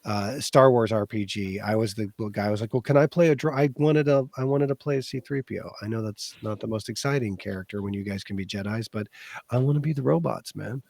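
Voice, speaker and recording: A man with a raspy voice hosting a casual stream chat, easygoing and halting, with many fillers. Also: lowish voice, darkish tone, no echo. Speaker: host.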